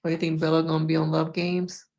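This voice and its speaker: A woman's voice: deep voice, monotone, fast talker, a little mellow. A woman hosting a casual live stream, chatting frankly with viewers, laughing often, and losing her voice.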